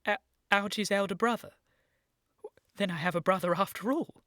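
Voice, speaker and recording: A woman playing an earnest, excitable gentleman in a period radio comedy, formal and urgent with an agitated stammer. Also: mid-range voice, normal speed, no room sound, studio quality, natural voice. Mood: elated. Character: earnest, excitable young gentleman seeking his origins.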